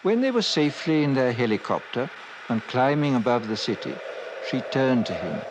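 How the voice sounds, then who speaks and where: A man's voice: medium pitch, very animated, moderate pace, background noise. Brief: male narrator for a vintage radio play, medium register, scripted storytelling.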